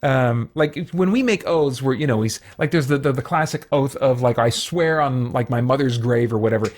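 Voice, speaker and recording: A man hosting an informal Bible study for an online audience, talking in a casual, halting way as he thinks aloud with frequent asides. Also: medium pitch, darkish tone. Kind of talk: informal sermon, conversational Bible teaching.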